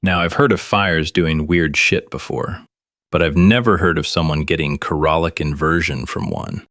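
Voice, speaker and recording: A very low-pitched, wry conspiracy radio host in an audio drama. He talks to listeners conversationally and conspiratorially, in a close, dry sound. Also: moderate pace, a bit bright, no room sound. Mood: intrigued, wry. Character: wry conspiracy radio show host, Miller, grown man. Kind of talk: scripted audio drama monologue as talk-show broadcast.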